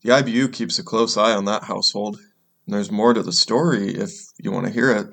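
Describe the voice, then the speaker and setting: An actor playing a composed, officious dystopian government enforcer in an audio drama, measured in his delivery. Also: on the low side, fairly even intonation, creaky, fairly bright tone. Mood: dry, confiding.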